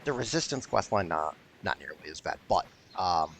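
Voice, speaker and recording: A man's voice: low voice, animated, normal speed, a bit bright, breathy edge. A man giving casual let's play commentary in a blunt, sweary way. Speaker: gaming video host.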